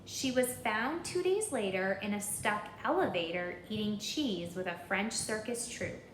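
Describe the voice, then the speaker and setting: Slow, lively woman reading a storybook. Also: mid-range voice, roomy sound, slight background noise, poor audio. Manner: expressive, deliberate, rhythmic. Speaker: storybook reader. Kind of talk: children's read-aloud with questions to listeners.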